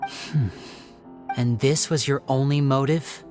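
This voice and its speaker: A man's voice: medium pitch, fairly even intonation, slow, darkish tone, soft background music, close to an everyday voice. A man voicing a young stage magician who questions a suspect coolly, probing and lightly mocking. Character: young male stage magician interrogating a villain. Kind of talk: video game voice acting.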